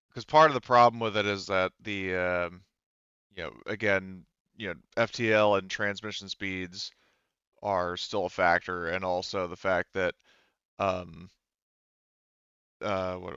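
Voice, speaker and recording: A man casually explaining a game setting as game master, haltingly searching for his words. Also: sits low, fairly even intonation, vocal fry, a bit bright, clean tone, dry recording, dull audio.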